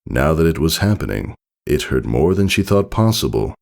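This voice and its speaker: A man's voice: a bass voice, very animated, normal speed, vocal fry. A man reading scripted storybook narration as the narrator of a piece of fiction.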